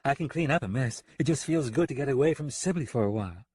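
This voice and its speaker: A man's voice: medium pitch, normal speed, a little mellow, slightly rough, dry recording. A man acting an earnest, tender western woman. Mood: jovial. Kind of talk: western audiobook character acting.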